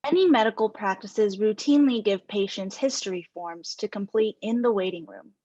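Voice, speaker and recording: Casting: female scripted explainer, average pitch, dry lo-fi recording. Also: moderate pace, mellow, dry recording. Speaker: panelist. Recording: dry, slightly dull audio.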